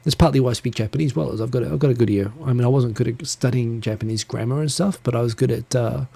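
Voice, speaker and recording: Man, New Zealand accent, dark mellow tone, rambling self-deprecating chat, close handheld mic. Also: medium pitch, vocal fry, husky. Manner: rambling, self-deprecating, talks fast with restarts. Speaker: livestream host. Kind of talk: casual solo live chat. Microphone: handheld USB recorder mic close. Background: computer fan hum.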